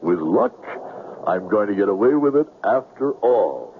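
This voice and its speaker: An actor playing a smooth-talking, anxious con man on the run in a 1970s radio drama, with florid, theatrical diction. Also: on the low side, big pitch swings, measured pace, phone-quality sound, loud music behind the voice, full character voice. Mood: desperate, scheming. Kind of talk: 1970s radio drama acting, first-person narration. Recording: noisy, phone-thin old radio broadcast.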